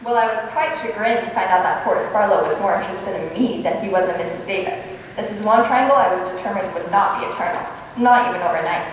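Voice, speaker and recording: A woman playing a wry, sharp-tongued high school teacher in an old-time radio comedy, with a dry, wisecracking delivery. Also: quick pace, natural voice. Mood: dry, polite. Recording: echoey hall from far off, faint hiss.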